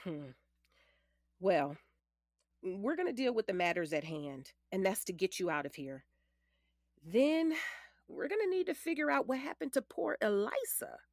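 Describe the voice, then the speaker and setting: A woman's voice: mid-range voice, big pitch swings, measured pace, long pauses, darkish tone, dry recording, studio quality. A woman playing a confident, matter-of-fact lawyer in her late forties in an audio drama, firm, precise and commanding. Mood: firm, businesslike.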